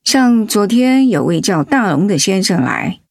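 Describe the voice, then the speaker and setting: Radio drama friend: big-sisterly, earnest, frank, medium pitch, close dry sound. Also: female voice, big pitch swings, unhurried, gravelly, no room sound, heavily acted. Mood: calm, recounting. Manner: earnest, sincere. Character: caring older-sisterly woman friend, frank and apologetic. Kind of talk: Mandarin radio drama acting.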